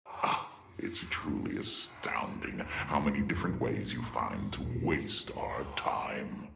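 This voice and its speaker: An actor playing an arrogant, cold and scornful male alien warlord in a video game, with a gravelly voice and a haughty, theatrical delivery. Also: rumbling low, expressive, slow, bright, forceful tone, whispered, exaggerated voice. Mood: scornful, bored.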